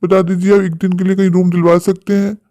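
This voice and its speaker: A man's voice: high-pitched, flat delivery, quick pace, mellow, dry recording, close to an everyday voice. A man playing an earnest, protective young eloping bridegroom in a cartoon story, talking insistently and pleadingly.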